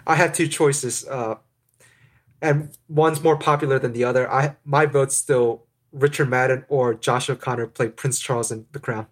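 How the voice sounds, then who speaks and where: Thirties male guest, mid-pitched, easygoing and hedging. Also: normal speed. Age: 30s. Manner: relaxed, hedging with fillers. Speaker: podcast guest. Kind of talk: casual podcast discussion.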